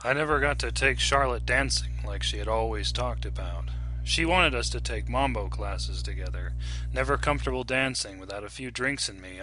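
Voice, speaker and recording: A man giving a dramatic, scripted narration of fiction in a measured, solemn, deliberate manner. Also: fairly low pitch, pushed and bright. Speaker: audiobook narrator.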